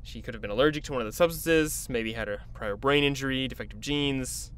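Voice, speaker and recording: An actor playing a driven, commanding research doctor in an audio drama, with a clinical way of talking. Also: male voice, medium pitch, a little fast, a bit bright, smooth, natural voice. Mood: measured, clinical.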